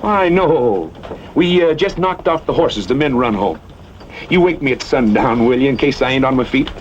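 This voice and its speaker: An actor playing a cocky, easygoing cowboy gunhand in a western film, speaking in a folksy, needling drawl. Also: male voice, medium pitch, expressive, moderate pace, close to an everyday voice. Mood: smug, casual. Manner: folksy drawl, taunting, nonchalant. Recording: muffled, noisy old film soundtrack.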